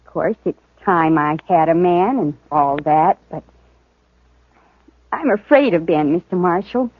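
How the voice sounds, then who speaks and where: A woman playing an earnest, shy teenage girl on a frontier homestead in a 1950s radio western, speaking in a soft country drawl. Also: lowish voice, animated, unhurried. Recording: narrow-band vintage radio broadcast.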